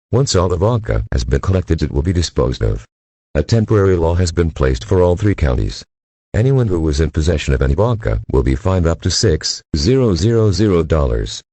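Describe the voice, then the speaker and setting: The low, husky, formal male voice that cuts into late-night television with an official emergency alert, flat and synthetic, in a dry, close sound. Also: no echo. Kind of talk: scripted emergency broadcast announcement.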